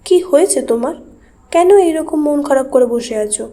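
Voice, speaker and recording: A woman voicing a caring, reassuring young wife in a horror cartoon, with a gentle, coaxing manner. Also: high voice, fairly quick, lightly acted. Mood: concerned. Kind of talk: Bengali horror cartoon voice acting.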